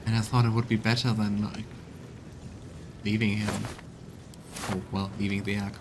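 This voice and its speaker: A man's voice: lowish voice, flat delivery, moderate pace, noisy background. A man giving casual let's play commentary, musing and thinking aloud as he plays a fantasy video game. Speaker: streamer playing a fantasy role-playing video game. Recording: game music playing behind.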